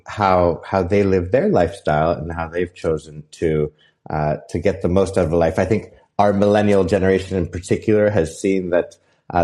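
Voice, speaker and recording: A young man hosting a podcast, his voice sitting on the low side. He speaks in a casual, halting way, often pausing on fillers and starting his sentences over. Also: mellow. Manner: halting, heavy with fillers and restarts. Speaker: podcast host. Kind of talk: casual interview chat.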